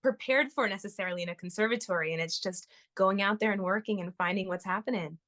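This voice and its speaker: A woman's voice: mid-range voice, fast, fairly bright tone. A young woman from Florida is a guest on a podcast. She talks in a chatty, upbeat way and laughs a lot. Her voice sits in an ordinary middle range. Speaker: guest, touring musical theater performer. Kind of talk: casual interview chat.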